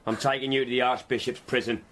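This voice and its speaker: An actor playing a stern, moralising coroner in a radio drama, brusque and accusing. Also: male voice, medium pitch, little pitch movement, fast talker, background noise, lightly acted. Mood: harsh, accusing.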